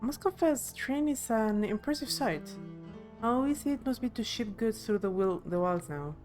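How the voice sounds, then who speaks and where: A woman's voice: on the high side, animated, normal speed, darkish tone, soft background music, close to an everyday voice. A woman voicing an observant, earnest travelling companion in a fantasy game, reading live with slight stumbles. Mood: thoughtful. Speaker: streamer voicing game dialogue. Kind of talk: game dialogue read aloud.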